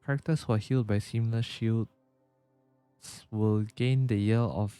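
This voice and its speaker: Low, mellow-voiced man streaming a game. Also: fairly even intonation, a little slow, clean tone, no room sound, faint music behind. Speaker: livestreamer. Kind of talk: casual gameplay commentary, reading game text aloud.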